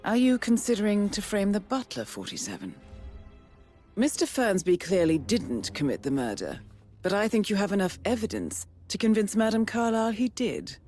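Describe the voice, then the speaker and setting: An actress playing a calm, analytical female mission handler who talks an assassin through the clues. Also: mid-range voice, natural voice. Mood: thoughtful. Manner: measured, analytical. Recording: music behind.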